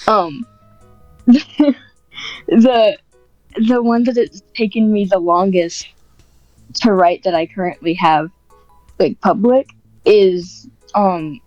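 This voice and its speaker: A woman chats casually as an interview guest, modest and hesitant. Her delivery is very unhurried. The sound is close and dry but a little dull, with faint music underneath. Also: lowish voice, darkish tone, no room sound. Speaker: podcast guest, script writer.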